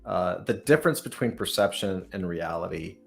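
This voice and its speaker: A man's voice: deep voice, animated, normal speed, vocal fry, faint music behind. A man hosting a casual interview, talking in a rambling way as he thinks aloud and restarts his questions. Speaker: podcast host and startup founder interviewing a guest.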